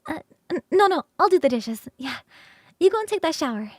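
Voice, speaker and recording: A woman voicing a playful, hungry and affectionate succubus roommate in an ASMR roleplay, coaxing and teasing with sighs and soft, breathy turns. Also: sits high, big pitch swings, no room sound, studio quality. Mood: eager, playful. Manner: coaxing, sighing, drawn-out savouring, shifting into soft whispers.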